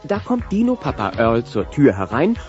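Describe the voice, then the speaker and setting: A man narrates a scripted story with lively intonation at a medium pitch. The recording is dull, with music behind him. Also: moderate pace. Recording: dull cassette transfer.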